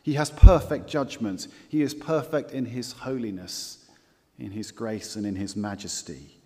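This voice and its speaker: A man preaching a sermon as pastor, earnest and measured, repeating key phrases and slowing down for scripture quotes. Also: lowish voice, mellow, long reverb tail.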